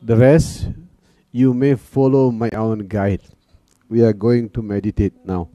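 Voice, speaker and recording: A man teaching guided meditation in a calm manner, leaving long pauses between phrases. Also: on the low side, dry recording. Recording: dull, lo-fi sound. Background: soft music.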